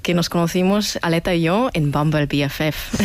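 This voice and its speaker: A woman's voice: lowish voice, measured pace, dry recording. A woman speaking casually as an interview guest, searching for her words now and then. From half German, half Vietnamese. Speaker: interview guest, community event organiser. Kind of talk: casual chat.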